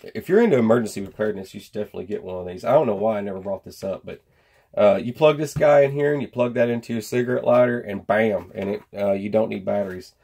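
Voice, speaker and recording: Low, gravelly man rambling casually. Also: quick pace, dark tone. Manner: rambling, folksy, informal. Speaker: host of a gear review channel. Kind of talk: casual product unboxing and review chat. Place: indoor home workshop.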